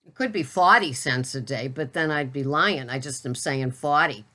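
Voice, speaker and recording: A woman's voice: very deep voice, normal speed, sharp tone, full character voice. Older woman putting on a thick Boston accent. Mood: playful. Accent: Boston, put on for fun. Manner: playful, chatty product show-and-tell. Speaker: keto vlogger.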